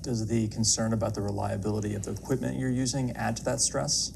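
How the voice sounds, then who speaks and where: A man working as a reporter, asking measured interview questions with halting, careful phrasing. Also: lowish voice, level tone. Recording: clearly noisy interview room sound.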